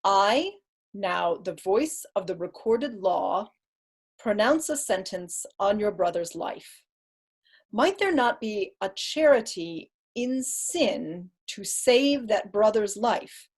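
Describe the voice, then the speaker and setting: Woman playing a cold scheming judge in shakespeare, husky voice, formal n probing, keeps pushing. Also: medium pitch, lively intonation, slow pace, full character voice. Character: cold, calculating male deputy judge, coercive and insinuating. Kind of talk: Shakespearean staged reading.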